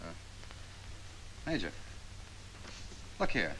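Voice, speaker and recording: An actor playing a confident, meticulous Army photo interpreter in a 1940s training film, explaining things precisely. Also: male voice, sits low, wildly swooping pitch, extremely slow, sharp tone, full character voice, poor audio. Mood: self-assured. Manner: precise, self-assured, explanatory. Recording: 1940s film soundtrack with faint hiss.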